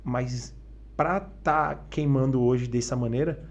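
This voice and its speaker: A man's voice: mid-range voice, slow, highs cut off. A man hosting a podcast interview, asking rambling strings of questions. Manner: rambling, stacks several questions at once. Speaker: podcast host.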